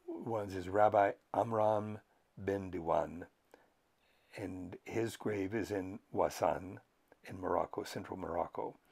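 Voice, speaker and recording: Low, gravelly older male lecturer. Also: darkish tone, a little hiss or hum. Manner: measured, thoughtful, frequent pauses and fillers. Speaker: professor lecturing on history. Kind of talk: unscripted educational talk to camera.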